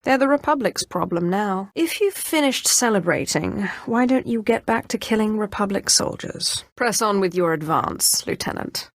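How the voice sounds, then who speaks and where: A woman's voice: medium pitch, animated, normal speed, hardly any pauses, no echo, clean studio sound, close to an everyday voice. A woman playing a ruthless, haughty Sith inquisitor in a video game, commanding and menacing, with a mocking edge. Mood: dry, sardonic. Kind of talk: scripted video game character lines.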